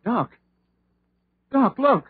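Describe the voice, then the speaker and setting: Frightened, very raspy radio townsman. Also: extremely high pitch, expressive, very slow, dry recording, full character voice. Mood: frightened, alarmed. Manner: hesitant, fearful, folksy. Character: frightened, timid male townsman tagging along. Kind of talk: 1930s radio horror acting. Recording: muffled, narrow-band 1930s radio recording.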